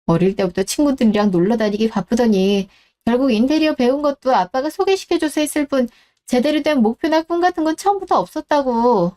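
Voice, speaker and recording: A woman voicing a blunt, sharp-tongued daughter in her fifties in a radio drama who speaks in an exasperated way. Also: high voice. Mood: bitter. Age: 50s.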